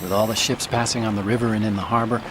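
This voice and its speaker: An American actor playing a brainy, self-assured hacker in a video game, explaining things in an earnest, confident manner. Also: male voice, fairly low pitch, steady tone, fast, close to an everyday voice. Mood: reassuring. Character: brainy, self-assured male tech-support partner and hacker. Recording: heavy rain and background noise.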